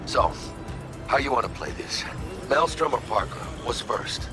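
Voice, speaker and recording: A man's voice: mid-range voice, a little slow. A brash, jovial street fighter swings his voice up and down with energy. It is game dialogue with music under it. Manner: lively, animated, with Spanish slang. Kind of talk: video game voice acting.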